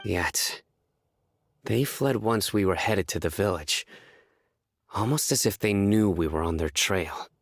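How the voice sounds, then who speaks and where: A man's voice: deep voice, unhurried, long pauses, no room sound, clean studio sound. An actor playing a stern, guarded young male general in a video game, speaking in a serious, terse, intense way. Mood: serious, questioning. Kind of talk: video game character dialogue.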